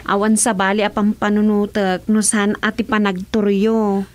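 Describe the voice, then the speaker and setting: A woman's voice: mid-range voice, level tone, moderate pace, darkish tone, natural voice. A woman playing a worried, stubborn grown daughter in a rural comedy radio drama.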